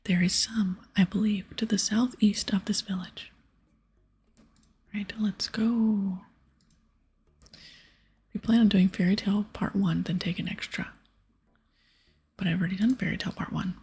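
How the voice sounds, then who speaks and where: A woman whispering in a breathy ASMR style as she casually plays through a game, chatting softly and reading dialogue aloud. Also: medium pitch, fairly even intonation, unhurried, pauses often. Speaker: host of an ASMR gaming series.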